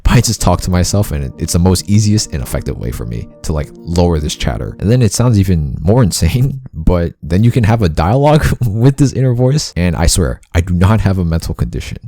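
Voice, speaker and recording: A man's voice: sits low, big pitch swings, a little fast, hardly any pauses, dark tone. A young man talking through his thoughts on happiness and self-improvement in a rambling, self-deprecating way, often laughing at himself. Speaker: vlogger. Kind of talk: casual self-help monologue. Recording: faint guitar music behind.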